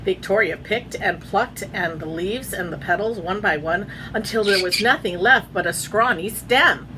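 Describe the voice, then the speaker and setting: A woman reading a children's picture book aloud in an expressive storytelling manner, chatting in asides to her pet. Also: mid-range voice, normal speed, noisy background. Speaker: read-aloud host, teacher.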